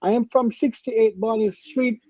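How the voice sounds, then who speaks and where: A man's voice: extremely high pitch, fairly even intonation, measured pace, warm tone, no room sound, low-quality recording. A man from Jamaica with a Jamaican accent, speaking insistently and proudly in a live online debate and repeating his points.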